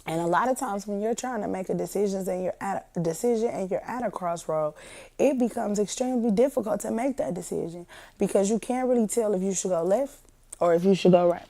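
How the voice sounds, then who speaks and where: Hoarse woman podcaster, gravelly and warm. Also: medium pitch, brisk. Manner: folksy, addresses listeners as family and y'all. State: partially lost voice from sickness. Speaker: podcast host. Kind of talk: casual motivational podcast talk.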